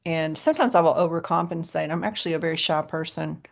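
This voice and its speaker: An American woman with a low voice co-hosting a podcast, chatting casually and often laughing. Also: quick pace, creaky. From United States. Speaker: podcast co-host. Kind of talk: casual chat.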